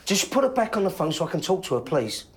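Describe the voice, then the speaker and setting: A man's voice: sits high, very animated, fast. An actor playing a volatile, menacing middle-aged father in a naturalistic film, aggrieved, repeating himself and swearing. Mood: pleading, strained. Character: volatile, menacing father of a troubled teenage son.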